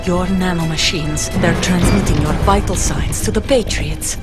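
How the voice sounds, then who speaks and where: A woman's voice: lowish voice, normal speed, close to an everyday voice. Woman acting steely soldier, music blaring behind. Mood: grave, urgent. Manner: grave, terse, intense. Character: steely, self-sacrificing female soldier, desperate mother. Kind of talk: dramatic video game voice acting. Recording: loud music behind the dialogue. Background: loud game soundtrack.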